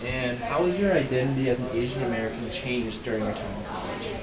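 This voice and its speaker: Brisk young man asking from afar. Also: medium pitch, reverberant, far from the mic, over a noisy crowd. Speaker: interviewer. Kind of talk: interview questions.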